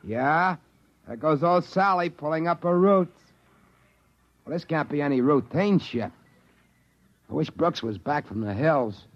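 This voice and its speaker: An actor playing an easygoing, folksy assistant manager of a trading post, with drawling, ungrammatical speech, in 1950s radio drama style. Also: male voice, on the high side, expressive, a little slow, long pauses. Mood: surprised. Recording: dull old radio recording.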